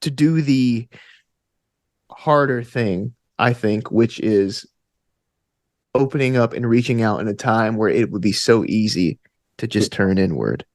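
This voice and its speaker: A man's voice: on the low side, a little slow, dry recording, clean studio sound. A man answering as an interview guest, earnest and reflective, thinking aloud with plenty of "like" and "you know". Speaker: podcast guest, actor and tabletop gamer.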